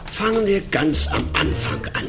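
A controlled, methodical male police interrogator in a radio crime play. His voice is fairly high and moves in lively swings as he probes, with music clearly underneath. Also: moderate pace, full character voice. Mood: probing. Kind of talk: radio crime drama acting.

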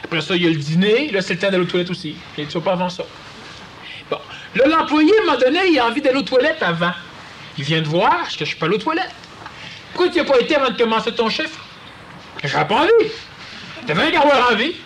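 Animated high-voiced Québécois lecturer. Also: male voice, fast, pauses often, slight background noise. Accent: Quebec French. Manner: animated, acts out quoted voices. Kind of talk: conversational talk to an audience.

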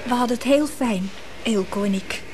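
Radio drama casting: woman playing a needy, prim mistress, high voice, plaintive and hesitant, clear background noise. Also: normal speed, close to an everyday voice. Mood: hesitant, wistful. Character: needy, prim, persistent mistress seeking money. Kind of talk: naturalistic Dutch radio drama acting. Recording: noisy radio drama recording with background ambience.

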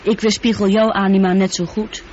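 An actress the playing a highly intelligent, humanlike female spaceship computer in a radio play, speaking in measured, articulate sentences. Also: level tone, darkish tone, close to an everyday voice. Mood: reassuring. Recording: dull noisy old radio play recording.

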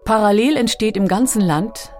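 A woman's voice: medium pitch, normal speed, a little mellow, soft background music. A woman reading scripted documentary narration as one of its narrators.

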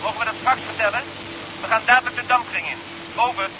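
Very high-pitched astronaut over noisy radio. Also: male voice, steady tone, fast. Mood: businesslike. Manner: clipped radio procedure. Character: matter-of-fact male astronaut calling in by radio. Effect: radio transmission. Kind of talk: 1970s Dutch radio drama acting. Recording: noisy, muffled radio transmission in old drama.

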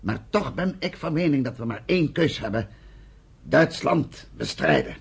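An actor playing a pragmatic militia leader in a radio play, a man speaking in a deliberate, persuasive way. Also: fairly high pitch, big pitch swings, creaky, slightly rough, full character voice. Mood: firm, persuasive. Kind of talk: Dutch radio play acting.

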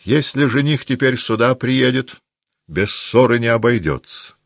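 A man's voice: medium pitch, a little slow, creaky, no room sound, voice pushed up. A male narrator acts out a brusque older society lady from a classic novel. The delivery is decisive and commanding, with firm emphasis. The recording is close and dry.

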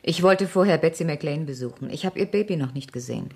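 An actress playing a gentle, anxious young wife who pleads softly, in radio drama. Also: female voice, low voice, lively intonation, fast talker, creaky, husky, exaggerated voice. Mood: matter-of-fact. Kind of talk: German radio crime drama acting. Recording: 1970s studio radio play recording.

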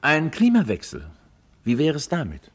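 An actor playing a worried, courteous well-off husband in a German radio crime play, polite, earnest and a little hesitant. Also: male voice, fairly high pitch, huge pitch swings, normal speed, slightly rough, exaggerated voice. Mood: polite concern.

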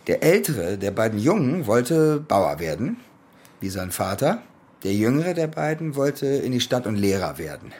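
Radio drama: young soldier, domineering older brother, taunting, mid-pitched, highly animated delivery. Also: male voice, moderate pace, slightly rough. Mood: grim storytelling. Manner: commanding, taunting. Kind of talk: German radio play acting.

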